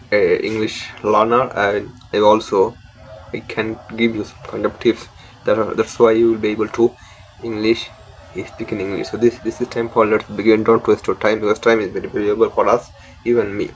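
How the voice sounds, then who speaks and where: Bengali-accented man, halting repeats. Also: fairly low pitch, little pitch movement, low-quality recording. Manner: halting, repeats words and restarts sentences. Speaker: English learning channel host. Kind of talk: casual spoken lesson to camera.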